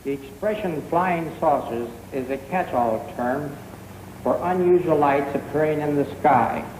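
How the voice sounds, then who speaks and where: A middle-aged man giving a scripted scientific explanation, formal and measured. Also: a little high, darkish tone. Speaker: physicist. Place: laboratory. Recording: echoey, noisy old film sound.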